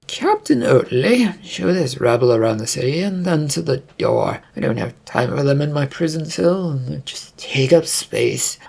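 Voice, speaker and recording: Someone putting on a haughty, gravelly paranoid prince. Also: medium pitch, animated, normal speed, few pauses, darkish tone. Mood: dismissive. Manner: imperious, indignant.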